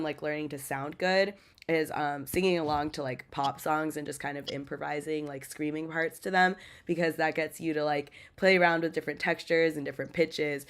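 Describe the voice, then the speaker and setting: A young American woman, a metal band vocalist, talking casually in a podcast interview with lots of "like" and "um" fillers. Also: deep voice. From United States. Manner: chatty, many "like" and "um" fillers.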